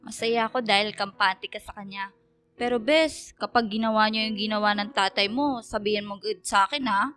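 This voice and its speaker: A woman's voice: medium pitch, fairly even intonation, normal speed, a bit bright, clean tone, faint music behind, natural voice. A woman acting a protective, teasing young best friend with a gossipy manner in a radio drama.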